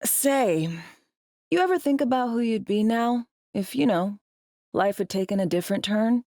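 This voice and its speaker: A woman acting a brisk, sardonic, foul-mouthed veteran secret agent in video game dialogue, with dry, clipped, conversational phrasing. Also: on the high side, animated, dark tone, no echo. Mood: weary, confiding.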